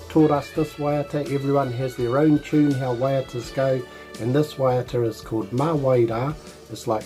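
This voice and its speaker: Relaxed New man, casual intro. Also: medium pitch. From New Zealand. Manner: relaxed, rambling, mixes in Māori words. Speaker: co-host of an online song challenge. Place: small bedroom at home.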